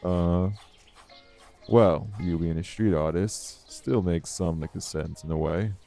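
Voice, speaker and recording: A man playing a guarded, world-weary old vampire in an audio roleplay, speaking in an unhurried, wry, folksy drawl. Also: mellow, faint music behind, heavily acted. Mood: thoughtful, probing. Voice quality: low, gravelly. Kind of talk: slow, intimate audio roleplay acting.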